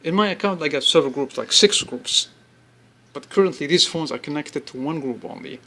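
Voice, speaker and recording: A man presenting an informal product demonstration, explaining step by step in halting phrases and repeating himself. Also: a little high, animated, pushed and bright. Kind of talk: informal spoken product demo.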